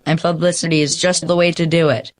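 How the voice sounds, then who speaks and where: A man playing an earnest, quick-thinking lawyer in a radio drama. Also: a little high, level tone, close to an everyday voice. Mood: shrewd, confident. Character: earnest, quick-thinking male lawyer pleading with an old friend. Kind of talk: old radio drama acting.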